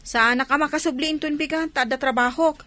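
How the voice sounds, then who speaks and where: A woman's voice: high voice, steady tone, brisk, bright, forceful tone. A woman acting a nagging village wife who worries about her family in a radio drama. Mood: complaining.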